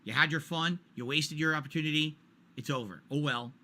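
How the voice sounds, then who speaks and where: A man's voice: a little high, fairly quick, sharp tone. A man in his forties ranting during a stream, exasperated and repetitive, hammering his points home. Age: 40s.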